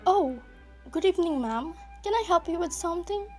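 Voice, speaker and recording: A woman's voice: very high voice, animated, moderate pace, a little mellow. A woman playing a courteous, helpful secretary with a formal manner in an amateur play. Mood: polite. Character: polite, helpful female secretary. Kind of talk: amateur one-act play acting. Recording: music clearly behind the voice.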